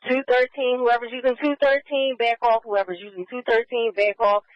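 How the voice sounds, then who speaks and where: A man's voice: very high voice, little pitch movement, moderate pace, no room sound. A man working as a police radio dispatcher, repeating locations and orders in clipped radio phrases. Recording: muffled scanner radio audio.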